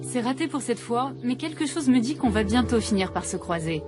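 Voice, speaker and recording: High-voiced French woman narrating over music. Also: lively intonation, fairly quick. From France. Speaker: documentary narrator and journalist. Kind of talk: first-person scripted documentary narration.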